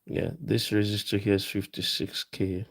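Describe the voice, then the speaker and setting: A man presenting a casual hands-on electronics tutorial, explaining as he works in a halting, informal way, thinking aloud. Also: fairly low pitch, flat delivery, gravelly, fairly bright tone.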